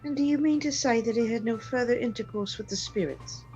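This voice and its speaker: A woman's voice: fairly high pitch, a little fast, warm tone, dull audio, faint music behind, lightly acted. A woman playing a curious ten-year-old girl, with a questioning manner, in a dramatized storybook reading. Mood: skeptical, curious. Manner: questioning, doubtful.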